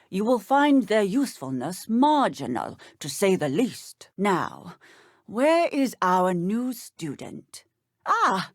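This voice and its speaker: Strict lady magic teacher for a video game, precise and proper, takes her time, lively intonation. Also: mid-range voice, dry recording, studio quality. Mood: stern, admonishing. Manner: formal, precise, schoolteacherly. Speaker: voice actor. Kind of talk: scripted video game dialogue.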